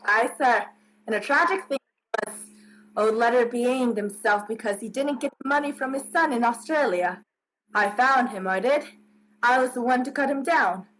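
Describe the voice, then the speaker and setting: A woman's voice: on the high side, normal speed, fairly bright tone, a little hiss or hum, lightly acted, rough recording. A woman playing a plain-spoken rustic village postman in a radio play, talking in folksy dialect with emphatic tags. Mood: grave.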